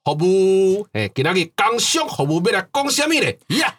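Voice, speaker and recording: A man's voice: high-pitched, sing-song, moderate pace, fairly bright tone, slightly rough. A man with a Taiwanese accent hosting a casual chat in a folksy, jokey way. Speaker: podcast host.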